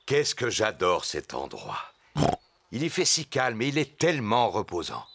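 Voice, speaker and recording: A man's voice: fairly low pitch, wildly swooping pitch, measured pace, creaky, full character voice. A man voicing an easygoing, jolly father pig in a French cartoon dub for young children. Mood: contented. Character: jolly, easygoing daddy pig, deep-voiced. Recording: music behind.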